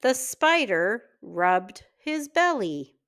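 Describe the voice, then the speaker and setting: A woman reading a children's story aloud in deliberate, word-by-word phrases, as a reading teacher does for early readers. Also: fairly high pitch, sing-song, a bit bright.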